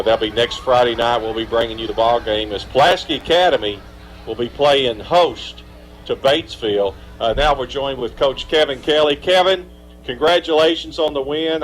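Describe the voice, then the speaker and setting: A man announcing a high school football game on live radio, chatting through scores and interviewing the coach. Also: rough recording. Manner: upbeat, conversational, asks long setup questions. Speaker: play-by-play announcer. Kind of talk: live sports radio commentary.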